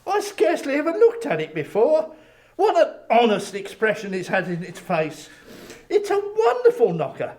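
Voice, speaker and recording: Storybook role: elderly reformed miser, giddy and kindly, very high and animated. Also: male voice, normal speed, exaggerated voice. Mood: giddy delight. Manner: exuberant, exclamatory. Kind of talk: dramatic reading of Victorian storybook.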